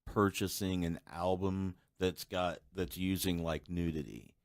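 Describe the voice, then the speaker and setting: A man's voice: deep voice, fairly even intonation, a little slow, no echo, clean studio sound. A man co-hosting a podcast in casual conversation, giving his own opinions. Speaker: podcast co-host. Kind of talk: casual chat.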